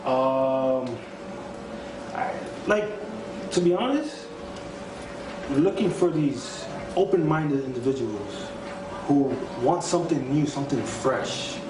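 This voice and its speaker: A man's voice: medium pitch, expressive, slow pace, long pauses. A man guest musician talking in a laid-back, rambling way about his music. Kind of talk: casual interview chat. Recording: distant echoey mic with background noise.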